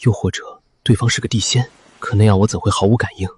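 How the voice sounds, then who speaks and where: A man's voice: on the low side, fairly even intonation, quick pace, husky, dry recording. A man playing a composed, brooding ancient mystic reborn as an actor, in earnest, theatrical scripted drama. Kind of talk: scripted dramatic monologue and dialogue.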